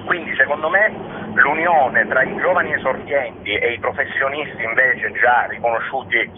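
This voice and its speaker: A man's voice: medium pitch, moderate pace. A man speaking as an artistic director, giving explanatory answers as a radio interview guest. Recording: noisy narrow phone line.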